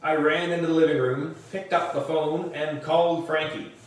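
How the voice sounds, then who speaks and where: A man reading a children's story aloud in an animated way, with dramatic emphasis. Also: fairly high pitch, normal speed. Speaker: audiobook reader for a book club. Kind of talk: expressive children's storybook narration. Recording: roomy echo, distant microphone.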